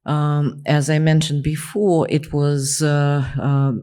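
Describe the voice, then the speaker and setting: A middle-aged man from Ukraine with a Ukrainian accent, a native Russian speaker, answering on a panel in slow, halting English full of fillers. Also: a little high, flat delivery, dark tone, clear, resonant tone.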